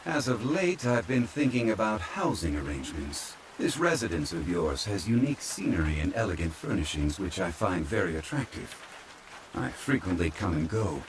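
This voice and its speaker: A man playing a calm, courtly ancient former god from a fantasy game, speaking formally and with measured politeness in an ASMR roleplay. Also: deep voice, a little hiss or hum, poor audio. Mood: calm, courteous. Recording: steady rain sound over the voice. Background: rain falling.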